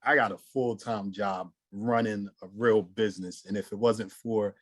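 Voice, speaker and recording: A man's voice: on the low side, steady tone, normal speed, dry recording. A man speaking as a guest, earnest and grateful, stammering now and then. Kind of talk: casual conversation.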